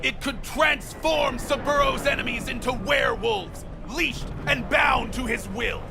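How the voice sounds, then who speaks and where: An actor playing a fanatical, paranoid street prophet in a video game, preaching conspiracy theories with theatrical, defiant grandeur. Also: male voice, very high voice, a little slow, creaky, music in the background, heavily acted. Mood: fervent, defiant.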